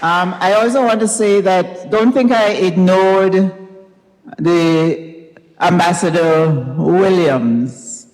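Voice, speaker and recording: A woman's voice: lowish voice, slow pace, a little mellow, a faint crowd. A woman with a Grenadian accent giving an informal thank-you speech on stage as the author hosting a readings event. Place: university recital hall. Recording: echoey hall, audience noise, distant ambience.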